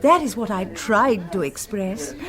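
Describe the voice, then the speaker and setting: A woman's voice: mid-range voice, expressive, unhurried, a crowd in the background, close to an everyday voice. An actress playing a grand, self-important society queen with an English accent, pompous and gushing in a radio comedy. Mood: self-satisfied. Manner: pompous, falsely modest, gushing.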